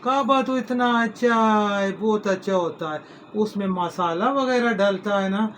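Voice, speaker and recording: Man, middle-aged, high voice, unhurried, rambling homely cooking chat. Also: a bit bright, slight background noise. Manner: folksy, rambling, gives homely advice and blessings. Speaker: cooking channel host. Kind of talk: casual home cooking vlog.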